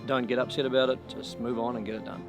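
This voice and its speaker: A man's voice: medium pitch, flat delivery, fast talker, over music. A man answering interview questions as a planning chief, in a matter-of-fact, practical way.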